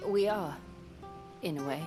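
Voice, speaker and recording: An actress playing a composed, polite traveller in a game cutscene, measured. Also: female voice, fairly low pitch, close to an everyday voice. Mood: composed, courteous. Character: composed, polite woman traveller making enquiries. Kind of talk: video game cutscene acting. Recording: music behind the voice.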